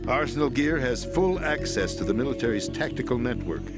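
An actor playing a middle-aged president in a video game, explaining a conspiracy in a measured, grave manner. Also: male voice, mid-range voice, expressive, loud music behind the voice, close to an everyday voice. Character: calm, grave middle-aged man, president briefing via codec. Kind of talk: video game cutscene voice acting.

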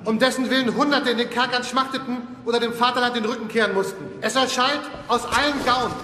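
Impassioned orator, high, ringing, reverberant. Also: male voice, fairly even intonation, quick pace, bright, forceful tone, slight background noise. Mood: impassioned, defiant. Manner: solemn, rhetorical declamation. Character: impassioned male 19th-century revolutionary orator addressing the people. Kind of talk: declaimed political speech, historical dramatization. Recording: echoing hall with long reverb.

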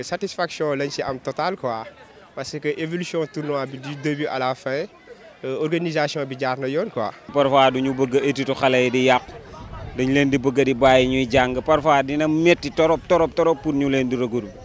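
A young Senegalese man speaks in an outdoor interview. His mid-pitched voice stays quite flat, caught on a reporter's handheld microphone. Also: normal speed. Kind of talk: on-camera interview. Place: outdoors on a sandy football pitch.

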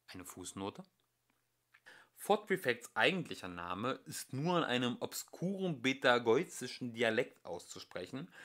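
A young man reading a comic science fiction novel aloud as an amateur home audiobook, with a dry, expressive storytelling manner. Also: mid-range voice. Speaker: audiobook reader. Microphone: home recording.

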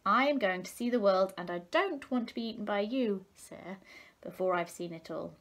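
A woman's voice: mid-range voice, moderate pace, natural voice. A woman reading a storybook as a plucky, outspoken, indignant young male little fish. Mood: defiant.

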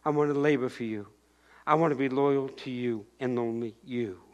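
A man's voice: mid-range voice, normal speed, dull audio. An older man preaching a sermon in a church, moving about and raising his voice in bursts. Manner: animated preaching, shouting acted-out crowd voices and pointing. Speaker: pastor. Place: church sanctuary.

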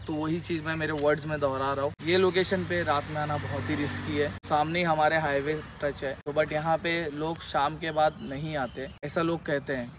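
A man vlogging as he explores an abandoned school by day, talking in an excited, spooked way and mixing in English words. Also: a little high. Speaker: vlogger exploring a haunted place. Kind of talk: casual walk-through vlog. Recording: loud insect and cricket noise behind.

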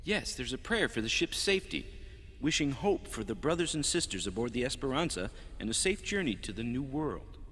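A man voicing a calm, scholarly cadet who explains things precisely in an animated sci-fi dub. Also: mid-range voice, a little fast. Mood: solemn. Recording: reverberant dub track with music underneath.

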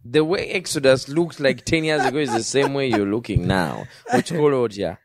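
A man's voice: medium pitch, animated, brisk, slight background noise. A man in his thirties with a Ugandan accent, hosting a TV studio interview and mixing English and Luganda in an upbeat way. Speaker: talk show host. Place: indoor TV studio set. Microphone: handheld mic.

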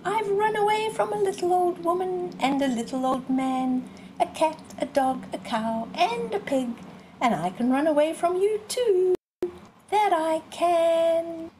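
A boastful little gingerbread man from a children's storybook, acted out by a woman. The voice is high and teasing, chanting in a sing-song way and stretching out the endings over some background noise. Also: lively intonation, a little slow, mellow. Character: small cheeky boastful runaway gingerbread man.

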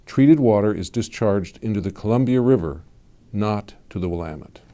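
A man narrates an explanatory video in a measured, even, scripted manner. His voice sits fairly low and has a dark, mellow tone. He sounds like a studio voice-over. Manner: measured, even informational delivery.

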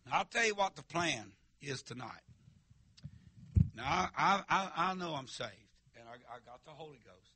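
A man preaches to his church in a fiery holiness style. He stammers and restarts, then calls out to the congregation. His voice is a little high and sharply bright, recorded dry and close. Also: animated, normal speed, husky, no room sound. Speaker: pastor. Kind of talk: sermon. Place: church sanctuary.

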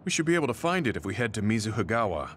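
A man's voice: on the low side, sing-song, rapid-fire, slight background noise. An actor playing a stern, earnest, middle-aged male soldier in a game cutscene, speaking seriously and bluntly. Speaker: game character.

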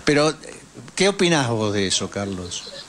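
A man's voice: medium pitch, big pitch swings, measured pace, a bit bright, a little hiss or hum. An Argentine man hosting a political radio interview in an informal, conversational way. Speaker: radio host.

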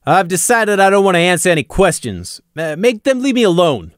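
A man's voice: high voice, sing-song, dry recording, studio quality, voice pushed up. A man voicing Pebble Mill, a nervous male pony suspect who protests his innocence. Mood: defensive. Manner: defensive, quick, protesting.

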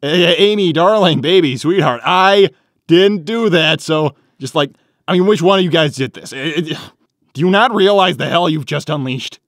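A man's voice: on the high side, normal speed, fairly bright tone, dry recording, studio quality. A man voicing a cocky young male hedgehog hero with a casual, sarcastic manner for a comic dub. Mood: panicked, pleading.

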